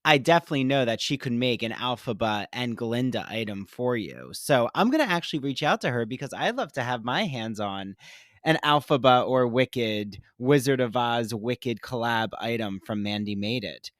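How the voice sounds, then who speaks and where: A woman in her thirties with a low voice, co-hosting a chatty podcast. She gushes through sponsor reads in an animated, enthusiastic way. The sound is dry and close. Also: normal speed, no room sound. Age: 30s. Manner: gushing, animated, with theatrical gasps. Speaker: podcast co-host. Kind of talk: casual chat with sponsor reads.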